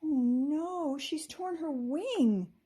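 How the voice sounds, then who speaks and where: A woman's voice: high voice, very slow, warm tone, voice pushed up. A woman voicing a sweet, caring little fairy girl in a storybook, with earnest expression. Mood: worried.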